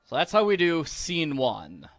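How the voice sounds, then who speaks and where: Casting: male walkthrough host, jokey and unhurried, highish voice, dry close recording over soft game music. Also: huge pitch swings. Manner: playful, jokey. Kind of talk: casual game commentary. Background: faint game music.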